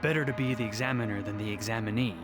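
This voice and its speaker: Casting: man as determined young fantasy warrior for a game, lowish plain-spoken delivery over prominent music. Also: racing, natural voice. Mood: curious. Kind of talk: video game voice acting.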